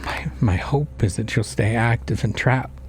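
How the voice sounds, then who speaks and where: A man narrating a first-person horror story in measured, somber short phrases. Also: mid-range voice, a little hiss or hum.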